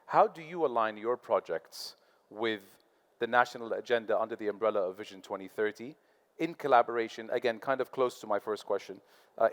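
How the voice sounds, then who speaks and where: Moderator, man, smooth scripted delivery, hall reverb tail. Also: medium pitch. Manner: polished, reads prepared questions. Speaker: panel moderator, podcast host. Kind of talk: scripted moderator introduction and questions. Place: conference hall on stage.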